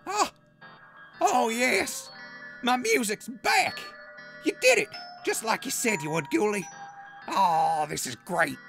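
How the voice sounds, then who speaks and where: Excitable gravelly backwoods hermit. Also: male voice, very high voice, takes it slowly, pauses often, soft background music, voice pushed up, full character voice. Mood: overjoyed. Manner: folksy, excitable. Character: excitable, folksy male backwoods hermit, simple and forgiving. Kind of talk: post-apocalyptic audio drama acting.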